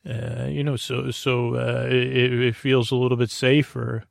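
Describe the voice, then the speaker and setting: A man with a creaky voice hosting a bedtime podcast, delivering a halting, meandering, goofy comic monologue. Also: mid-range voice, little pitch movement, no room sound, clean studio sound. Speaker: podcast host.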